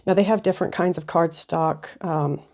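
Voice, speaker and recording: A woman hosting a casual craft tutorial, chatty with frequent ums, her voice slightly raspy and sniffly from a cold. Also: on the low side, steady tone, moderate pace, a little mellow. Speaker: craft video host.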